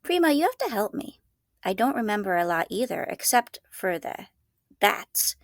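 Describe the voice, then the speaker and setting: A woman voicing a helpful, chatty girl cousin in a storybook, animated and reassuring. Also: mid-range voice, normal speed, no room sound, clean studio sound. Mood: friendly, animated.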